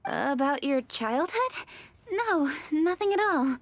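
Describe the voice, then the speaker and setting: A woman's voice: high-pitched, animated, takes it slowly, heavily acted. An actress playing a poised, polite young noblewoman in a video game, courteous and precise in her speech. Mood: concerned. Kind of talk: video game character acting. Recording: light music behind.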